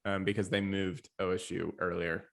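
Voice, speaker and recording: A man's voice: low-pitched, little pitch movement, moderate pace, gravelly. A man from the United States co-hosting a podcast, talking casually about sports. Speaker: podcast co-host.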